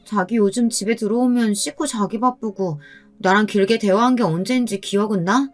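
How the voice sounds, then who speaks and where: Woman doing a young wife in a story drama, earnest, questioning, mid pitch, music behind. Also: moderate pace, lightly acted. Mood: worried, frustrated. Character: worried, frustrated young newlywed wife, polite to strangers.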